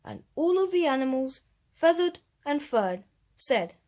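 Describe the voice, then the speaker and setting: A woman storyteller reading a rhyming children's story aloud, expressive, with deliberate emphasis. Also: sits high.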